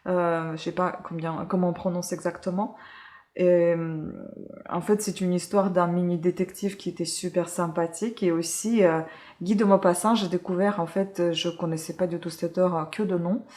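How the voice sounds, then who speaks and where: Woman from ukraine, lowish voice, lots of euh and restarts, chatty book review. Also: steady tone, moderate pace, mellow. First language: Russian. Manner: hesitant, many fillers and self-corrections. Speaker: book reviewer, channel host. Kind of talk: casual book review chat.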